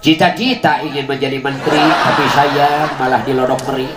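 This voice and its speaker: A man's voice: mid-range voice, fairly even intonation, moderate pace. A man with a Sundanese accent tells comic tales in rhymed patter, playful and chuckling as he goes. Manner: playful, chuckles as they talk. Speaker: comic storyteller, show host. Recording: echoey amplified sound with music behind. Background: music.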